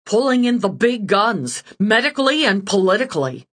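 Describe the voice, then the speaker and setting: A woman voicing a brisk, matter-of-fact young female police detective. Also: medium pitch, very animated, a bit bright, slightly rough, voice pushed up.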